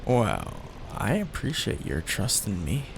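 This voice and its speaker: A man plays an awkward, devoted young mafia heir in an audio roleplay, slow and gravelly, his pitch rising and dipping a lot as he fumbles for words. Steady rain patters clearly behind him. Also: background noise, heavily acted. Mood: sheepish. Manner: hesitant and sheepish, with fillers and restarts. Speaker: ASMR roleplay voice actor. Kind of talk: ASMR roleplay.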